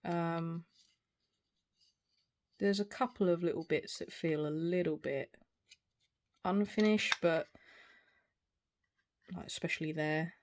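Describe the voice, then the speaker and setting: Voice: medium pitch, slow, long pauses. A person in their forties giving a casual, unscripted product review in a hesitant, rambling way. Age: 40s. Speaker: video reviewer. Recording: clear background noise, small clicks.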